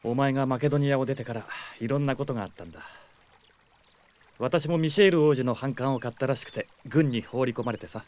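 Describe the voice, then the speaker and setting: A man's voice: medium pitch, fast, darkish tone, close to an everyday voice. An actor playing a proud, stubborn young male soldier in a Japanese radio drama, speaking firmly and formally. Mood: surprised, conversational. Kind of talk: Japanese anime-style radio drama acting.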